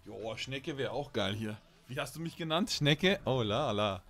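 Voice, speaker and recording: A male game streamer with a mid-pitched voice that swings around a lot. He chats casually and teasingly, with crude slang, over music. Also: expressive, moderate pace, a bit bright. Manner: playful, teasing banter with chat. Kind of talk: casual live stream chat while gaming.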